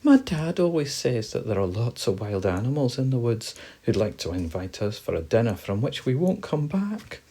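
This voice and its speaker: A man voicing a small, friendly storybook mouse who is eager but easily frightened, with an excitable manner that turns breathy with worry. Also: medium pitch, normal speed, hardly any pauses, pitched above the performer's own voice.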